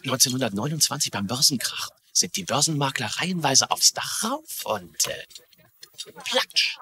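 An actor playing an eager, overworked young nephew in a dubbed TV drama. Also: male voice, medium pitch, huge pitch swings, normal speed, sharp tone, breathy edge, full character voice. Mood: intrigued.

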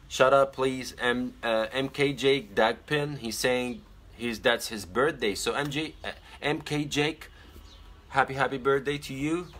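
A young man whose first language is Arabic, chatting casually on a phone live stream from his bedroom. He reads out viewer comments and gives earnest advice, pausing often on fillers. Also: medium pitch, fairly bright tone. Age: young adult. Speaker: pageant titleholder on a live stream. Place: small bedroom indoors. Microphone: phone camera at arm's length.

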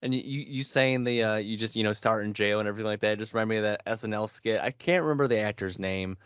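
A man's voice: fairly low pitch, fast talker, smooth, dry recording. Laid-back young man, dry close sound. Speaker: podcast co-host. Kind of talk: casual chat about video games. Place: small home room, indoors. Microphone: headset on a video call.

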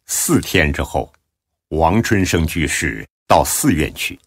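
Very deep, husky male narrator. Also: animated, slow pace. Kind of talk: scripted storytelling narration.

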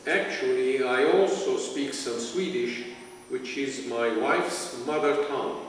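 An older man with a Lebanese accent, giving brief, measured answers in an interview. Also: medium pitch, recorded from a distance, a little hiss or hum. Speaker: interviewee in a video artwork. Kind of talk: slow, deliberate answers in an interview. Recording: echoey gallery playback heard from afar.

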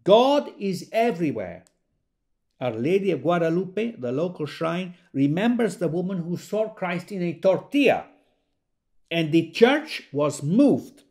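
An actor playing a devout, superstitious country believer, telling stories emphatically in an audio drama monologue. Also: male voice, high voice, big pitch swings, measured pace, darkish tone, exaggerated voice. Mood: fervent. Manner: emphatic, storytelling.

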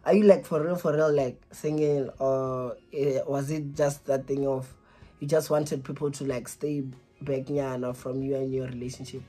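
A man's voice: medium pitch, flat delivery, moderate pace, mellow, faint music behind. A man in his twenties in a casual phone conversation, hesitant and repetitive, pleading, recorded close up in a small room. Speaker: vlogger making a prank call.

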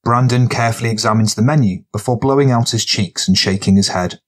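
A man's voice: medium pitch, fast talker. A man reading scripted fiction narration as an audiobook narrator.

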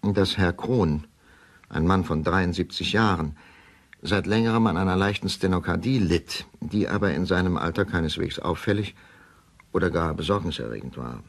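An actor playing a middle-aged doctor who gives a measured, formal account in a radio play. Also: male voice, deep voice. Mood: sober, factual. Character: weary, measured middle-aged male doctor writing his confession. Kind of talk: German radio play monologue, formal report.

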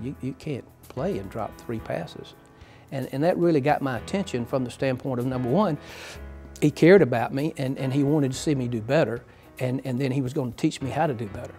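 An older man in a dark studio, reminiscing about his playing days in a folksy, halting way with many restarts. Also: medium pitch. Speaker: hall of fame inductee. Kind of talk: sit-down interview reminiscing.

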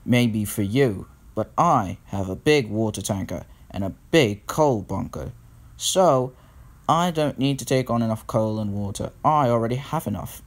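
A man's voice: on the low side, expressive, measured pace, voice pushed down. A man voicing a rude, headstrong young new engine in a children's story, defiant and boastful.

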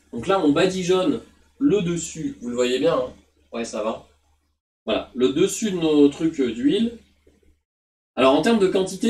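Husky-voiced man chatting on a cooking stream. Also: a little high, a little mellow. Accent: southern French, faint. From south-east France. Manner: chatty, rambling, many fillers and restarts. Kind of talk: casual live-stream chat while cooking. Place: home kitchen and small room. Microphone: headset mic.

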